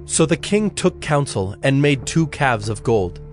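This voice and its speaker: A man's voice: mid-range voice, very animated. Solemn male scripture narrator, music underneath. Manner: measured, solemn storytelling. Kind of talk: scripted dramatized Bible narration.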